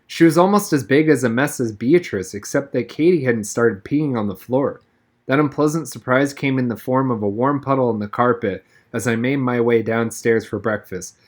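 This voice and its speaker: A man reading a novel aloud as an expressive narrator, with wry first-person storytelling. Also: medium pitch, moderate pace. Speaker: reader, narrator. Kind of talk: expressive audiobook-style read-aloud of a novel.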